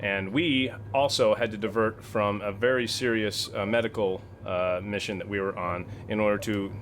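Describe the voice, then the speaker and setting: A man who edits the show, playing a captain in a tabletop game with firm, deliberate speech. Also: lowish voice, steady tone, a bit bright, slight background noise. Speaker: player and editor.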